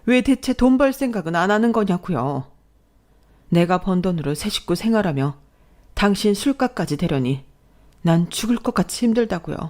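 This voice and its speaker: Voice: mid-range voice, normal speed, darkish tone, close to an everyday voice. A narrator voicing a long-suffering, fed-up wife and mother in dramatized storytelling dialogue. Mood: aggrieved.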